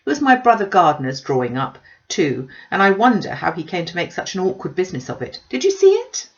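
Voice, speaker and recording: A woman's voice: fairly low pitch, big pitch swings, brisk, lo-fi sound. A woman voicing a gushing, fussy middle-aged mother who chatters pushily and complains with emphasis in an audiobook. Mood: gushing, fishing. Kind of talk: audiobook character voice.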